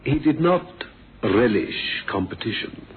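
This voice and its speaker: A man's voice: medium pitch, lively intonation, measured pace, phone-quality sound, natural voice. A man acting a prim, deferential managing director in a radio drama, formal and a little hesitant. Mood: prim disapproval. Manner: formal, hesitant, discreetly disapproving. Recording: thin band-limited old radio, noisy.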